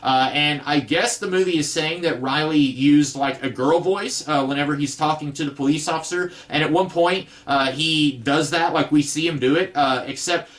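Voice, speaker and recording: A man with a baritone-ish voice giving a rambling, casual film review full of filler uhs. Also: normal speed, hardly any pauses, a bit bright.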